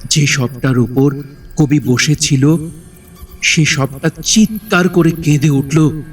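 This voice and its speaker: Slow, suspenseful male horror narrator. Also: fairly high pitch, slightly rough, recorded from a distance, faint music behind. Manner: suspenseful, deliberate phrasing. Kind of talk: dramatic horror story narration.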